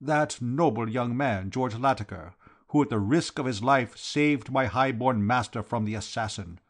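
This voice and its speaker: A man's voice: medium pitch, moderate pace, voice pushed up, natural voice. A man voicing a formal, flowery foreign count, solemn and emphatic, devoted to his master, in a storybook reading. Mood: courtly, earnest.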